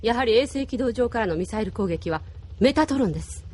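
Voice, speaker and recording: A woman's voice: a little high, expressive, a little fast, darkish tone. An actress playing a strict, formal female military aide in an anime audio drama. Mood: urgent, formal. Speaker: voice actor.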